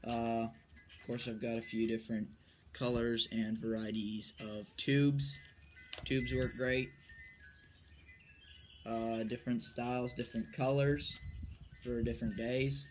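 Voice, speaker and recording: A young man giving a casual, unscripted show-and-tell in a halting, low-key way, recorded on a porch at arm's length from the mic. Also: level tone, darkish tone. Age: young adult, late teens or early twenties. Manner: halting, low-key, trailing off while handling items. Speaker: amateur angler presenting his tackle. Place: covered wooden porch outdoors. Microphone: phone or camera at arm's length. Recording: noticeable outdoor background noise.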